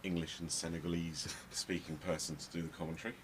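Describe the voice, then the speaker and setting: A man with a very deep voice and a Belgian accent, calling a pool match for a live stream. He talks steadily with a dry, wry humour. There is a steady hiss of noise and some venue ambience under the voice. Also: fast talker. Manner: switches between English and French, wry. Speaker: pool commentator. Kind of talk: live sports commentary. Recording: noisy live stream commentary.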